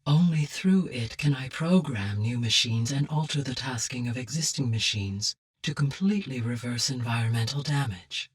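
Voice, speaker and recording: Casting: a calm female video game AI, low and breathy-husky, measured, exact and formal, heard slightly off-mic. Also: recorded from a distance, full character voice. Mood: calm, analytical. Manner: measured, formal, precise diction. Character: calm, analytical female artificial intelligence. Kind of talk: scripted video game dialogue.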